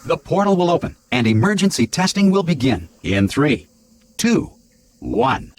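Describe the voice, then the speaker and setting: An actor with an American accent playing a cheerfully formal automated facility announcer in a game, reading polished, unfazed public-address messages. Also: male voice, fairly high pitch, sing-song, moderate pace, a little mellow. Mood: formal, upbeat.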